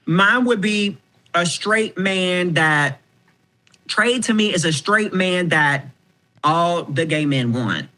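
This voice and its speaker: A man in his twenties, a podcast guest talking casually, frank and animated, swearing freely. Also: sits high, slow pace. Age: 20s.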